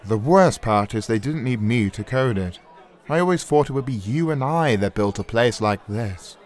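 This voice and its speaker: A man plays a cocky, flamboyant tech veteran in an audio drama, teasing like an old colleague. His medium-pitched voice sits over a murmuring crowd. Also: animated, moderate pace, a little mellow, natural voice. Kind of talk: audio drama character dialogue.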